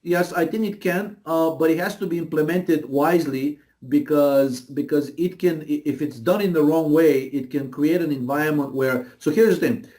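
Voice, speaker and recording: A man with a slightly high voice and a Bulgarian accent speaks as an interview guest. He explains things in a conversational, emphatic way, often repeating phrases and tagging points with a quick check for agreement. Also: warm tone.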